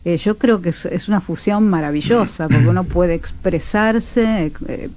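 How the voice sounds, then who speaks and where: A woman with a Rioplatense accent talks in a radio interview. Her voice sits fairly low and has a dark, relaxed tone. She explains her thoughts reflectively, in a conversational way. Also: moderate pace, no room sound. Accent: Rioplatense Argentine. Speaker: radio theatre teacher and announcer, interview guest.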